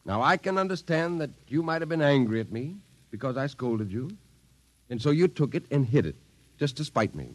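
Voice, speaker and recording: A man's voice: medium pitch, lively intonation, normal speed, no echo, close to an everyday voice, low-quality recording. A man playing a stern, hot-tempered army colonel and father in a 1950s radio drama, with a clipped, authoritative manner. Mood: stern, exasperated.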